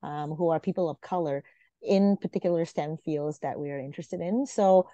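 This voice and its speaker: A woman's voice: low voice, moderate pace, mellow, dry recording. A woman in her forties from Malaysia, a guest talking earnestly on a video call with many fillers and restarts. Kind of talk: casual interview talk. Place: home room, video call. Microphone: video call webcam. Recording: dull lo-fi video call audio.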